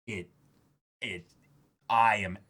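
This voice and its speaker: A man's voice: on the low side, steady tone, drawn-out words, a bit bright. Emotive man chatting casually on a livestream. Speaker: co-host of a dramatic manga reading stream. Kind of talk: casual livestream chat and reactions.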